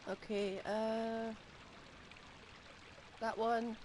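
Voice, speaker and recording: A woman's voice: a little high, little pitch movement, extremely slow, background noise. A woman streaming a game with casual, exasperated commentary, blurting out her reactions expressively. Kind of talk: casual live gaming commentary.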